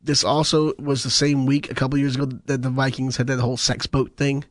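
A young man co-hosting a sports radio show, his soft voice strained and weak as he jokes his way through casual banter at a studio mic. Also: mid-range voice, little pitch movement, brisk, no echo. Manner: self-deprecating, joking. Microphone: studio microphone.

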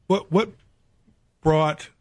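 A man hosting an interview, asking halting, hesitant questions. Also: a little high, a little mellow, dry recording. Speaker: interview host. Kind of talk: conversational interview.

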